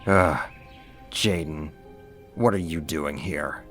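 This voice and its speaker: A man playing a big possessive werewolf in a roleplay audio drama, gravelly, smug and flirtatious while taunting his rival. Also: extremely low pitch, slow pace, fairly bright tone, faint music behind, heavily acted. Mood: annoyed. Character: big cocky possessive male werewolf, smitten and boastful.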